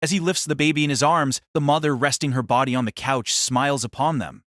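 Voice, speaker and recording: A man narrating a novel as a dramatic audio reading, solemn and theatrical, with measured phrasing. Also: medium pitch, steady tone, fairly bright tone, dry recording, clean studio sound.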